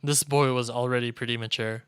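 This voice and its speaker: A man's voice: medium pitch, steady tone, fairly quick, a bit bright, clean tone, no room sound. A man streaming a game, reading story text aloud and adding his own commentary, with game music behind him. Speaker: streamer playing a visual novel. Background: video game music.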